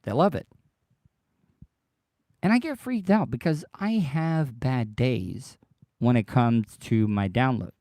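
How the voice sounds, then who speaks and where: A man hosting his own podcast livestream, rambling and repeating himself as he talks straight to his listeners, his voice worn deeper than usual by tiredness. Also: lively intonation, slow, pauses often, darkish tone, no room sound, clean studio sound. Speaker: podcast host. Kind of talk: casual solo livestream monologue.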